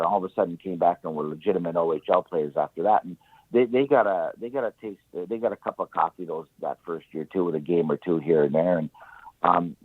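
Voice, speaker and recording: A man with a very deep, husky voice talks as a sports guest over a narrow, phone-like line. He analyses conversationally, repeating phrases for emphasis, and the sound is dry and close. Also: no echo. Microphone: phone call-in. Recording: muffled narrow-band phone call, radio-like.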